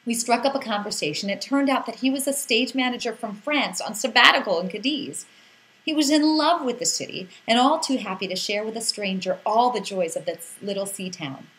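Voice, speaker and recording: A woman reading a scripted first-person story as an expressive narrator who acts out the exclamations in it. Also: on the high side, a little fast, rough recording.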